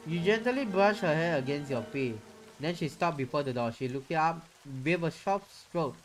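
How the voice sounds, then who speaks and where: A man's voice: fairly high pitch, expressive, normal speed, low-quality recording. A man reading a visual novel aloud in a theatrical, playful way, with casual reactions in between. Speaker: streamer.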